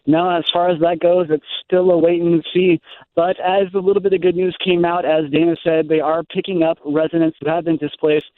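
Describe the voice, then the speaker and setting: Male American radio reporter, slightly high-pitched, live breaking-news hits over a thin phone line, unscripted and halting. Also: little pitch movement, no echo.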